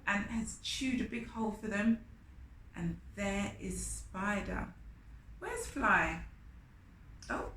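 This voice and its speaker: A woman's voice: on the high side, fairly bright tone. A woman reading a picture book aloud to children, expressively, with asides and questions to her young listeners. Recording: roomy echo, faint background noise.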